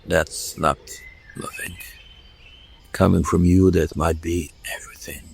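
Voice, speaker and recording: Guy voicing a brooding werewolf, deep gravelly whisper, slow and heavy. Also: little pitch movement, exaggerated voice. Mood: wry. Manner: low hushed whispering, slow. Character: brooding young male werewolf, blunt. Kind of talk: audio drama, whispered ASMR.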